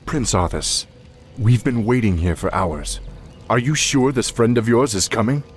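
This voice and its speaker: A man's voice: medium pitch, a little slow, lightly acted. A man playing an impatient, dutiful army captain in a fantasy game.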